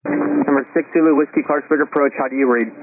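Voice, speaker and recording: A man's voice: medium pitch, fairly even intonation, quick pace, dry recording, narrow, tinny audio. A man working as an air traffic controller, giving firm, procedural instructions over an aviation radio. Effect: aviation radio transmission.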